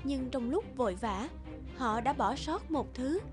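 A woman's voice: high voice, expressive, unhurried, music in the background. A woman narrator telling a scripted fairy tale.

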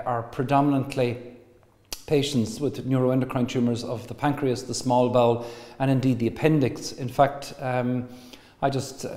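A man in his fifties, a consultant surgeon explaining his work in an interview, halting with many pauses, recorded on a lapel mic in a hospital room. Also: mid-range voice, darkish tone. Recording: echoey room with long reverb.

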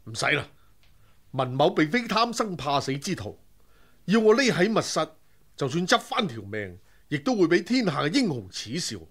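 An actor playing a proud, fearless hero who speaks sternly, in a radio drama. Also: male voice, on the high side, sing-song, moderate pace, fairly bright tone, heavily acted. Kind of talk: 1990s radio drama acting.

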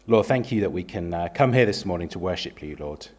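Low-voiced man, slightly croaky. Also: expressive, brisk. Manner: gentle, hesitant, with frequent ums. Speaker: lay worship leader. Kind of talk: welcome and spoken prayer at a church service. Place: small church sanctuary, indoors. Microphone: stand microphone at a lectern.

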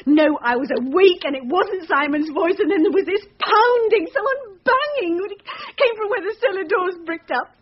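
High-voiced, anxious British wife. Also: female voice, animated, moderate pace, few pauses. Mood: shaken, insistent. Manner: hesitant, fretful, repeats herself. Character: anxious, grieving young mother and wife, easily frightened. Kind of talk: radio thriller acting. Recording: muffled radio drama recording, faint noise.

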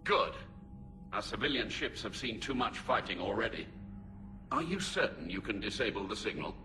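An actor voicing a male alien admiral who speaks in a sharp, reproachful way. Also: on the low side, animated, normal speed, over music, natural voice.

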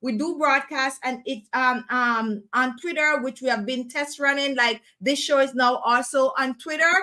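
High bright Jamaican woman chatting live. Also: moderate pace. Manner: chatty, animated, talks with her hands. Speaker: beauty channel host. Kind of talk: casual live-stream chat. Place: home room, indoors.

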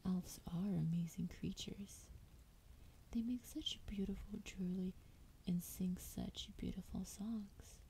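An ancient, lonely she-dragon, acted by a woman, murmurs to a beloved in an intimate ASMR roleplay. The voice is a breathy, very soft and dark whisper, unhurried and coaxing, with tender, teasing possessiveness. Ambient noise is audible behind it. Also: medium pitch. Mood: affectionate. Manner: slow, coaxing, affectionate. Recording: clear background noise, ambience.

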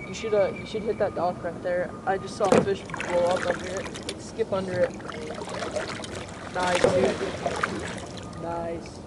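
Teen dude hyped up on a kayak, kinda high voice, tons of wind and water noise on the camera mic. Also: male voice, a little slow, pauses often. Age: teens. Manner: excited, slangy, calls his friend dude. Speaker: fishing vlogger. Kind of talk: casual outdoor fishing vlog. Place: kayak on a lake outdoors. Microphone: action camera mounted on the kayak.

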